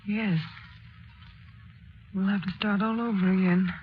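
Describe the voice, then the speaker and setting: A woman playing an exhausted, gently reassuring mother in a 1930s radio drama, speaking in a subdued, soothing way. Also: mid-range voice, fairly even intonation, unhurried, lightly acted. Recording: noisy, muffled old radio broadcast.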